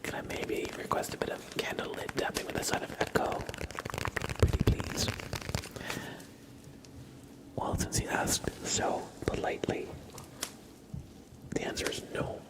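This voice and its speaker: A man doing a whispered ASMR livestream, chatting to viewers in a breathy, playful, teasing way with dry humor. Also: moderate pace, poor audio. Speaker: ASMR live streamer.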